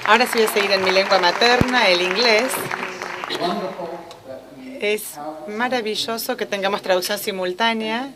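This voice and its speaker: A woman interpreting a lecture live, fluent and steady with occasional self-corrections. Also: mid-range voice, normal speed, a loud crowd, heavy background noise. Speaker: simultaneous interpreter.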